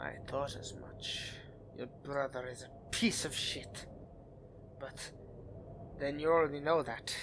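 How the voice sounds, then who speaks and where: A man's voice: medium pitch, steady tone, a little slow, long pauses, noisy background, natural voice. A man playing a suave, scheming vampire aristocrat in a dramatic roleplay addressed to the listener, with courtly, haughty, theatrical phrasing. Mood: sympathetic, coaxing, then scornful, indignant.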